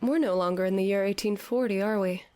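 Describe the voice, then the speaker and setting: A woman's voice: medium pitch, quick pace, a little mellow, natural voice. An actress playing a dazed, theatrical woman who declaims in a grandiose mock-Shakespearean way in an audio drama. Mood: haughty. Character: dazed theatrical woman raving as Hamlet to a fish head. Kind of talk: scripted audio drama acting.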